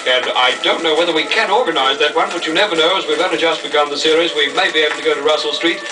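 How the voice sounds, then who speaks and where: A man's voice: fairly high pitch, racing, far from the mic, low-quality recording. A man interviewing in a genial, formal 1940s radio broadcast manner, on an old transcription recording. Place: school hall. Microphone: broadcast microphone.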